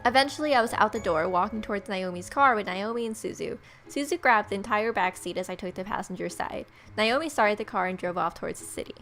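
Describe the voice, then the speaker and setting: A woman's voice: medium pitch, expressive, fast talker, hardly any pauses, fairly bright tone. Playful woman reading a game aloud over music. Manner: jokey, informal asides. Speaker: let's play host reading the game aloud. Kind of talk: playful gaming commentary mixed with story read-aloud.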